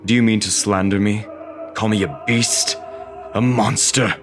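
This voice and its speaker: Man playing a slow, low-voiced obsessive opera phantom. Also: fairly even intonation, over music, lightly acted. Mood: menacing, bitter. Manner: slow, theatrical, brooding. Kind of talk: radio drama acting.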